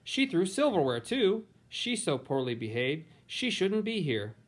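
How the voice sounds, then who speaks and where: A man's voice: high-pitched, sing-song, a little slow, voice pushed up. A man voicing a scandalized, disapproving relative in a storybook, exclaiming indignantly.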